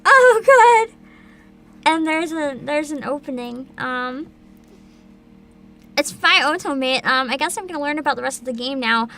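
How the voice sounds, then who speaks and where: A woman hosting a livestream, chatting casually and reacting in an animated, exclamatory way with frequent swearing. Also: high-pitched, unhurried, long pauses, slight background noise. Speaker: livestream host, VTuber.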